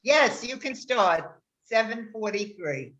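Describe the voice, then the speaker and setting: A woman chairing a residents' meeting, keeping time briskly and politely. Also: fairly high pitch, lively intonation, a bit bright. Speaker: meeting moderator. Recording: dull lo-fi audio.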